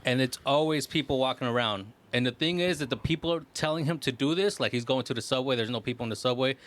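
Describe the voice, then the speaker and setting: A man co-hosting a casual podcast, telling stories excitedly in slangy, profane language full of "bro" and "like". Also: mid-range voice, fast. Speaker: podcast co-host.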